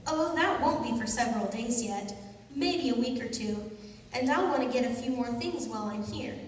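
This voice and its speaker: Crook's adoring moll for radio play female actor, higher voice, wheedling, recorded at a distance in a hall. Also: expressive, normal speed, lightly acted. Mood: adoring, sweet. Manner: sweet-talking, wheedling. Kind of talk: old-time radio crime drama acting. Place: live stage performance in a hall. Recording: very echoey hall, distant mic.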